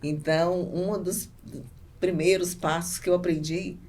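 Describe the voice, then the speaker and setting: A woman's voice: lowish voice, fairly even intonation, measured pace, a little mellow. A Brazilian woman business owner answering casually as a podcast guest, often repeating and rephrasing herself.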